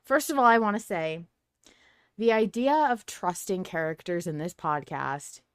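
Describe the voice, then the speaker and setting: A woman's voice: on the low side, lively intonation, normal speed, smooth, no echo, studio quality. A woman hosting a podcast, talking through ideas in a casual, informal and emphatic way. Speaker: podcast host. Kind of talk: casual fan discussion podcast.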